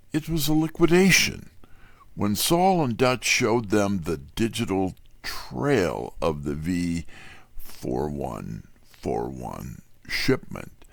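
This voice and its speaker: An actor playing a wry, tough, wisecracking detective's assistant in hardboiled first-person mystery narration and dialogue. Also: male voice, low voice, creaky, no echo, heavily acted. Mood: matter-of-fact.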